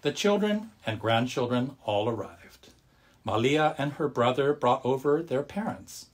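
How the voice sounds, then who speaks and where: Animated man telling a story, husky voice. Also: mid-range voice, measured pace. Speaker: storyteller and religious service leader. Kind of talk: children's storytelling and friendly address to congregation.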